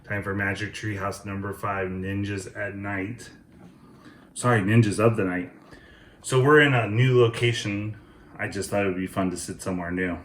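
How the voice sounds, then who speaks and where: A man hosting a children's read-aloud, narrating a storybook in a deliberate, expressive way. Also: fairly low pitch, echoey room.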